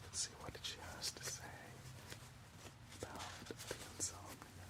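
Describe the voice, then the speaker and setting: A man playing a gentle mystical alchemist in an ASMR roleplay, musing slowly in a breathy whisper. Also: natural voice. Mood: hushed, musing. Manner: slow, halting, musing. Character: gentle mystical alchemist consulting an old spellbook.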